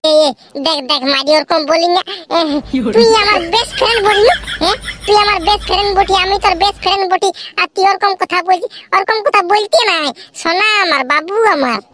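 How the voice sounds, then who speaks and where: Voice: extremely high pitch, fairly quick, background noise. A performer voicing a cheeky, dramatic cartoon villager who teases and whines in a rural Purulia accent. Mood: whining, pleading. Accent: Purulia, rural western Bengal. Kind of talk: comedy cartoon dialogue in rural dialect.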